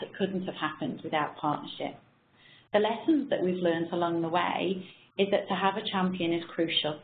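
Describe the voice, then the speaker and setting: A middle-aged woman with a quiet, husky voice presents over a webinar. Her low voice has a gravelly fry. The sound is tinny and roomy, like a phone line in an echoey room. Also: a little hiss or hum. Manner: measured, with frequent ums. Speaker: presenter, nurse researcher. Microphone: computer mic on a web call. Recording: echoey tinny online call audio.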